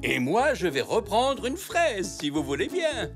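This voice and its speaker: A kindly old cartoon grandfather zebra, courteous and expressive. His voice swings wildly up and down in a highly animated way, with clear music playing behind it. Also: a little slow, full character voice. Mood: friendly, upbeat. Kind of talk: French children's cartoon acting.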